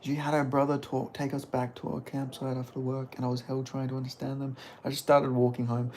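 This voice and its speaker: A man's voice: mid-range voice, completely flat delivery, a little fast, darkish tone, a little hiss or hum. A man chatting casually on a livestream, sweary and self-deprecating about his own skills. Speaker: streamer doing impressions.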